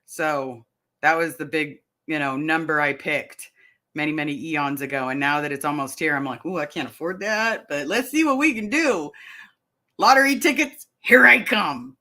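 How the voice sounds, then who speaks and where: A woman's voice: low voice, animated, moderate pace, bright, forceful tone. A middle-aged woman chatting casually on a live stream, reading viewer comments aloud and rambling through her answers. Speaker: vlogger answering live chat.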